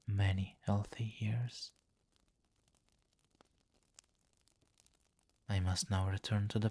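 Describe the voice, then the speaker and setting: A domineering vampire king, acted by a man for an ASMR roleplay, speaks very slowly in a low voice. He is seductive and condescending, giving soft commands in a hypnotic, possessive murmur. The delivery stays measured and close to a whisper, with little rise or fall. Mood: possessive, coaxing. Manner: slow, coaxing, possessive, softly commanding, often near whisper.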